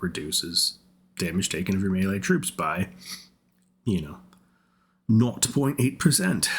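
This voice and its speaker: A man giving casual gaming commentary in a rambling, think-aloud way, his voice rough from repeated colds. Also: deep voice. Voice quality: husky, rough voice. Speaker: game content creator.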